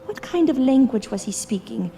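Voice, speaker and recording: An actress playing a sharp-tongued, reluctant explorer's companion in a period horror audio drama, with formal, theatrical exasperation. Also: female voice, fairly high pitch, lively intonation, normal speed, creaky, a little hiss or hum. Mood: fearful, reproachful.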